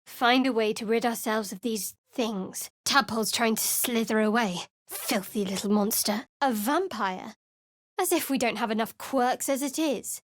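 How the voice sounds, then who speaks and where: Woman doing a snarky cleric girl from a game, bit high voiced, dry studio sound. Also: a little slow, no echo, lightly acted. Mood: sardonic. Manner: dry, cutting sarcasm. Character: guarded sardonic young woman cleric. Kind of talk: studio video game voice acting. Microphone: close studio mic.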